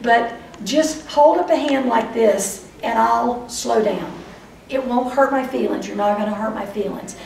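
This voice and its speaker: An older woman with a Texas accent giving a lively, chatty lecture to a live audience, speaking straight to them. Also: medium pitch, vocal fry, far from the mic, a little hiss or hum. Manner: lively, chatty, addresses audience directly, acts out voices of dreams. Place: meeting room before a whiteboard. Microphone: far camera. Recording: roomy echo, voice from afar.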